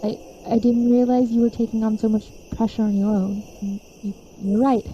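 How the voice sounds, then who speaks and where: Very mellow, hesitant young woman, acted out, muffled. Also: on the high side, fairly even intonation, normal speed, slight background noise, lightly acted. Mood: apologetic, earnest. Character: hesitant, apologetic young female teammate. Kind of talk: fan-dub webtoon voice acting.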